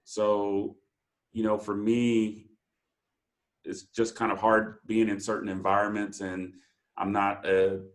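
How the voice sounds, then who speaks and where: Brief: very low, monotone man, shy and halting, easygoing group participant. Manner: hesitant, many fillers, easygoing. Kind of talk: casual group discussion.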